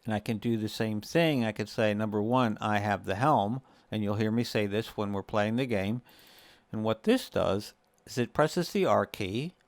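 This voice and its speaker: Guy doing a laid out step by step gaming walkthrough, kinda low clean voice, chatty, no room echo. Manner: conversational, explains step by step. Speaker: video creator and host. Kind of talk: casual gaming tutorial talk.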